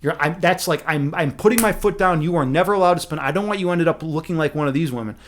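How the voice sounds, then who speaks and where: A man's voice: fairly high pitch, quick pace, a little hiss or hum, close to an everyday voice. Blunt, appalled fairy-tale prince acted by a man. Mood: firm. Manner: slangy, incredulous.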